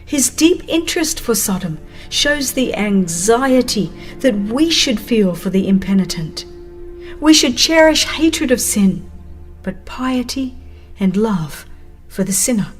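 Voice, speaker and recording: A woman narrating a religious audiobook in a solemn, expressive, reverent dramatized style. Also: on the high side, slow pace. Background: music.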